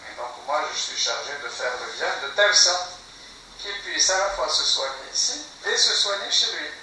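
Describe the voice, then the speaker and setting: Guy explaining therapy stuff, lots of rhetorical questions, heard from far off in a boomy room w/ background hiss. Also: big pitch swings, moderate pace, pushed and bright. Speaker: ethnopsychiatry researcher and therapist. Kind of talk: interview answers. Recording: echoey room, distant mic, background noise.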